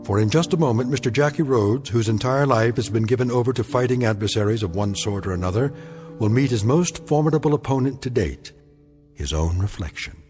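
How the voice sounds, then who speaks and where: A man narrating an eerie radio drama in a deliberate, portentous and ominous style. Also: mid-range voice, expressive, darkish tone, lightly acted. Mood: grave, ominous. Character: grave, ominous male narrator of an eerie tale. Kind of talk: scripted dramatic narration in old-time radio anthology style. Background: music.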